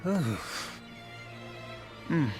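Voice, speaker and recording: An actor playing a gentle, awkward young wizard in game cutscene dialogue, soft-spoken and halting. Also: male voice. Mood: shy, sincere. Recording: orchestral film music behind.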